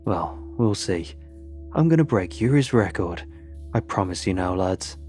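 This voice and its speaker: A man's voice: sits low, lively intonation, a little slow, a little mellow, soft background music. Man acting out a matey, slurring British drunk. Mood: drunk, friendly. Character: cheerful drunken British man, blokey and boastful.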